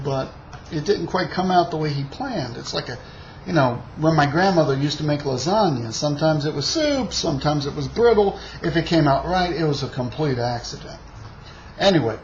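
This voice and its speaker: A man's voice: fairly high pitch, a little mellow. A man from the United States telling a long, rambling travel anecdote in a casual way, full of fillers and side remarks. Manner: rambling, with many fillers and asides. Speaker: storyteller. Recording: dull lo-fi recording with faint hiss.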